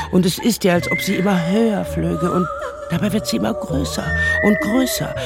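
Radio drama narrator: lyrical storyteller, creaky mid voice, measured, over loud music. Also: female voice, fairly low pitch. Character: lyrical storyteller of a girl's dreams. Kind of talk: scripted literary radio-play narration.

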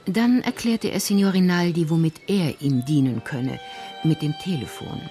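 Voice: medium pitch, normal speed, dark tone. Narrator, scripted crime drama narration, light music behind.

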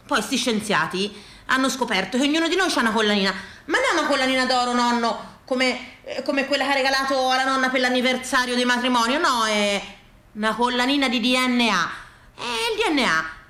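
Tuscan woman doing a stage monologue, sharp bright voice, dramatic pauses, echoey room. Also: high-pitched. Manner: dramatic pauses and repetitions, colloquial. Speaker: stage performer, storyteller. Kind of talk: theatrical comic-poignant monologue.

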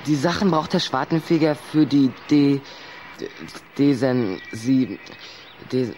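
A man's voice: medium pitch, slow, darkish tone, a little hiss or hum, close to an everyday voice. Man playing a sceptical, questioning young lad. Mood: hesitant. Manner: questioning, hesitant. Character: sceptical, teasing, hesitant schoolboy, a vampire's human friend. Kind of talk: German children's radio play acting.

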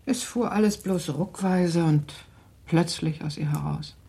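Husky, low, sardonic wife. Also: female voice, a little slow, dark tone, heavily acted. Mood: measured, reflective. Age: middle-aged. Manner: cool, sardonic, clipped. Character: dry, sharp-tongued middle-aged wife and mother. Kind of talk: German radio drama acting.

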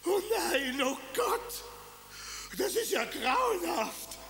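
Pompous ruler father, gravelly, high-pitched, swoops wildly, blustering radio drama villain. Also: very animated, slow, loud music behind the voice, full character voice. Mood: outraged. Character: vain pompous ruler father, easily outraged.

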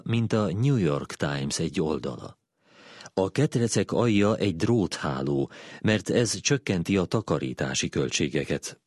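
A man with a low voice narrates a written essay. It is a scripted read, recorded close and dry with very little room sound. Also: a little mellow, no echo. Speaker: narrator reading a philosophy essay.

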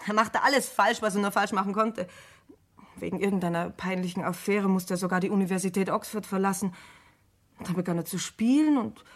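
A woman playing a composed, dutiful secretary in a radio crime play, speaking in a precise, measured, formal way. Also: medium pitch, natural voice. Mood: matter-of-fact. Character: composed, dutiful female secretary testifying to police. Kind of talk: German radio crime drama acting.

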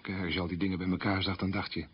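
Radio drama role: man recalling a wartime childhood, deep and slightly rough, short plain sentences. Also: flat delivery, very fast, highs cut off. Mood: wistful recollection. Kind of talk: radio documentary-drama monologue, reminiscing.

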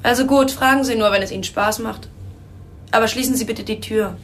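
Actress as a sassy high-voiced club stripper. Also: female voice, a little fast, slight background noise, lightly acted. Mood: cheeky. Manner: cheeky, slangy, defiant. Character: sassy, streetwise, wary female strip-club performer. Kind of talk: audio drama dialogue.

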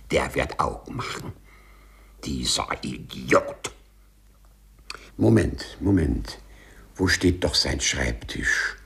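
A man's voice: a bass voice, sing-song, slow, vocal fry, long pauses, fairly bright tone, husky, heavily acted. A man playing a meek office clerk turned spiteful avenger in a 1950s German radio play, theatrical and mock-servile. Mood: scheming glee.

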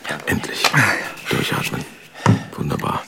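A man's voice: measured pace, heavy background noise, close to an everyday voice. An actor playing a middle-aged German engineer and father in a radio play, stiff and exasperated. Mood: exasperated, brooding. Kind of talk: radio drama acting.

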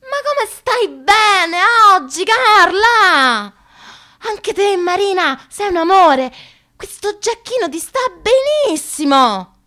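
Radio drama: affected, sugary female coworker, extremely high, sing-song swings. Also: big pitch swings, takes it slowly, sharp tone, exaggerated voice. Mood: sugary flattery. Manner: affected, sugary, speaking in diminutives. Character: gushing, fake-sweet female office colleagues making small talk. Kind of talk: theatrical radio monologue mimicry.

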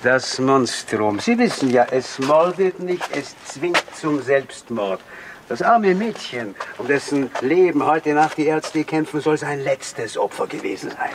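Sardonic, obsessive killer-hunter for radio play; highish, very animated, insistent and theatrical. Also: male voice, measured pace, noisy background. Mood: ominous. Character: driven, sardonic man hunting a murderer in old Vienna. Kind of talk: radio drama acting.

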